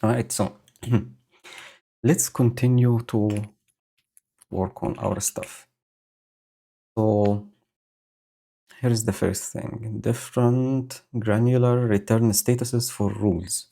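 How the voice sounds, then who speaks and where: A man with a low, mellow voice talks through his code for a live stream. He speaks slowly and pauses often, filling the gaps with ums and uhs as he thinks aloud. He is recorded on a home mic with a noise gate. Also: steady tone, vocal fry. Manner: slow, thinking aloud with many fillers. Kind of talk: casual live-coding commentary. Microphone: new home audio setup with noise gate.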